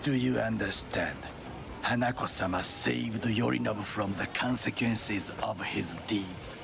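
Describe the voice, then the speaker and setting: An actor playing a solemn, formal, loyal Japanese bodyguard of middle age in a video game, with a Japanese accent and measured, stiff phrasing. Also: male voice, on the low side, vocal fry, noisy background. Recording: music behind the voice.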